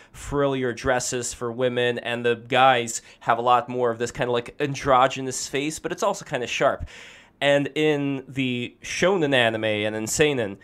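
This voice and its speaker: Smooth-voiced male podcast host. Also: medium pitch, a bit bright. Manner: hesitant, many fillers. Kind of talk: casual panel discussion.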